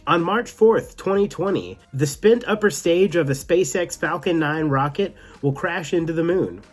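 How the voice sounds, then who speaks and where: A man's voice: on the high side, moderate pace, soft background music. A man hosting a tech news show, giving enthusiastic, partly scripted commentary with some casual asides.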